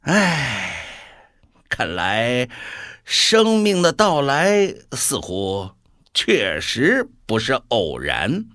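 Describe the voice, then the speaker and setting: An actor playing a scheming, taunting devil in a radio drama, musing aloud in a theatrical, deliberate way. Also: male voice, mid-range voice, huge pitch swings, bright, forceful tone, no echo, clean studio sound, exaggerated voice. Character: scheming male devil, amused, taunting creator of worlds.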